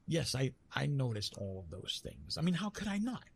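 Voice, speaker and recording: A man playing a retired god of love in an intimate ASMR roleplay, tender and teasing, speaking softly with a husky, breathy voice and halting, reassuring delivery. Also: mid-range voice, sing-song, heavily acted. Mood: playfully flustered. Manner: gentle, reassuring, halting with pauses and self-corrections.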